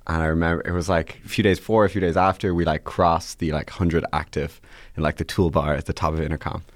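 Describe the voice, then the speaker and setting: Guy in his 20s, deep voice, dead dry mic, lots of "like"s. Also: level tone, fast talker, dry recording. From Ireland. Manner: rambling, with frequent "like" fillers. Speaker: podcast guest, startup CEO. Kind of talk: casual interview chat.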